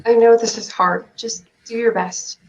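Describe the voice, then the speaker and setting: Woman acting anxious loyal girl in a stage play, highish voice, earnest and pushy. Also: fairly even intonation, moderate pace, highs cut off, slight background noise, natural voice. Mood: firm, reassuring. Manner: earnest, flustered, pressing. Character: anxious, insistent girl named Willow, loyal and protective.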